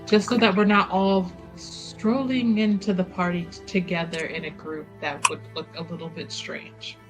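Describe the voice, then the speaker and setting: Woman talking casually over clear music. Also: lowish voice, fairly even intonation, normal speed, rough recording. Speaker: player in a tabletop game. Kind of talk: casual planning chat.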